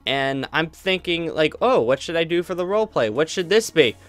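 A man's voice: fairly high pitch, animated, normal speed, fairly bright tone, no echo. A young man chatting casually to his viewers, rambling with many fillers and restarts. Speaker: YouTube roleplay creator.

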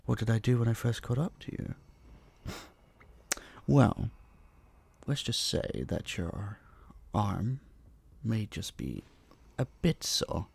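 A man playing an obsessive, possessive vampire captor in an intimate audio drama, hushed, teasing and dominant, menacingly sweet, dipping into whispers. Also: lowish voice, sing-song, slow pace, gravelly, long pauses, slightly rough, exaggerated voice. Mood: teasing menace. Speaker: voice actor. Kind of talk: intimate roleplay audio drama, addressing the listener.

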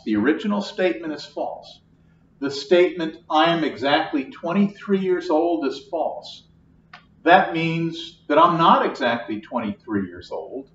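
Older guy teaching math, slow and patient, kinda high voice, echoey room far from mic. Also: slight background noise. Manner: slow, patient, repeats each point. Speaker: math lecturer. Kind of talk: classroom lecture at a whiteboard. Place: classroom. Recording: echoey classroom, distant, dull.

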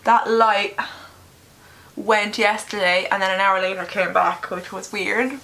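A young woman with a crisp, bright voice, slightly nasal from a lingering cold. She chats candidly and casually, swearing now and then, as she talks to a camera at her desk in a small bedroom. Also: medium pitch, little pitch movement, measured pace, poor audio. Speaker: beauty vlogger. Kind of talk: chatty makeup tutorial.

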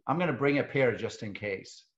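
A man's voice: medium pitch, animated, moderate pace. A man in his forties from Syria, a guest on a webinar, talking casually from his living room with joking asides and frequent restarts. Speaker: guest, CEO of a civic organization. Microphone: earbud headset on a video call. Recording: dull, lo-fi video call audio.

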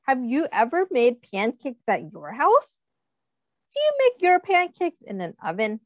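A woman teacher reading a picture book aloud to children, pausing to ask them questions and putting on small voices for the characters, speaking into a webcam. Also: fairly high pitch, no echo. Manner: animated, asks children questions, does little character voices.

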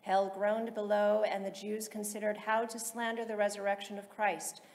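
A woman reading solemn liturgical texts aloud in a measured, formal, chant-like delivery. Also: mid-range voice, flat delivery. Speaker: liturgical reader in church. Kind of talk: solemn chanted recitation of psalms and hymns. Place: large reverberant interior. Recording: echoey reverberant hall, loud background noise.

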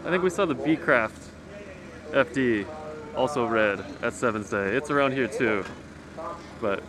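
A man giving casual, slangy and enthusiastic walk-around commentary as a car enthusiast, speaking outdoors. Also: mid-range voice. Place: outdoors in a circuit paddock. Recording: noisy outdoor car paddock ambience.

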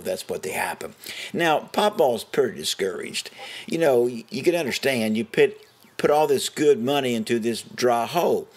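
A man's voice: medium pitch, slightly rough. A middle-aged or older man with an Appalachian American accent, telling a story in a homespun way and prone to chuckling. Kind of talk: folksy first-person storytelling.

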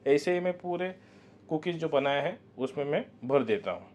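A man's voice: fairly high pitch, animated, normal speed, a little mellow. Patient man teaching bakery steps. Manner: patient, instructive, repeats key warnings. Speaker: baker demonstrating recipe. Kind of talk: step-by-step cooking demonstration. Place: commercial bakery kitchen.

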